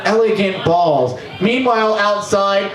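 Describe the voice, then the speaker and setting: A man in his twenties doing a profane, animated comic history monologue at an open mic in a small bar, sometimes breaking into shouts. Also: high-pitched, unhurried. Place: small bar stage, indoors. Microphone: stand mic, distant camera. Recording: roomy bar, camera far off.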